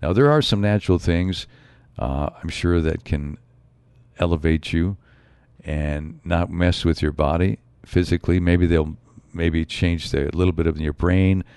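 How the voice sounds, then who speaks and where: Deep raspy man with a cold. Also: measured pace, a little mellow, no room sound. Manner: rambling, musing, frequent asides. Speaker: show host. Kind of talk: solo podcast monologue.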